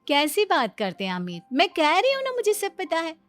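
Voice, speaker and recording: Woman playing a high, bright know-it-all young daughter-in-law. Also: huge pitch swings, normal speed, soft background music, full character voice. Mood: boastful, insistent. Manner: boastful, emphatic, chatty. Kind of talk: comedic Hindi story dubbing.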